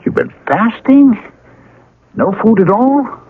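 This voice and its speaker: An actor playing a down-to-earth struggling painter and old friend in a 1970s radio drama, plain and colloquial. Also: male voice, extremely high pitch, animated, slow pace, slightly rough, full character voice. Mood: concerned, incredulous. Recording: muffled, lo-fi old radio broadcast.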